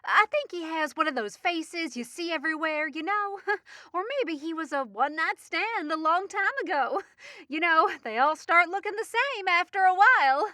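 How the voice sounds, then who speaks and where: High, bright flippant young woman. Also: animated, moderate pace, dry recording, clean studio sound, heavily acted. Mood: playful, teasing. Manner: teasing, breezy, uses pet names. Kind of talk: audio drama acting.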